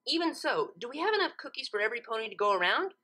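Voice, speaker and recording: A woman's voice: a little high, very animated, very fast, sharp tone, pitched above the performer's own voice, exaggerated voice. A woman voicing an earnest, studious young female cartoon pony who is exacting, questioning and easily flustered.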